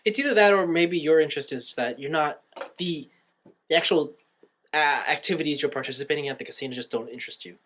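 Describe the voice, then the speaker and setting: A young man co-hosting a podcast, telling stories in an animated, slangy, casual way. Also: on the high side, fast talker. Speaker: podcast co-host. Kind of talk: casual chat.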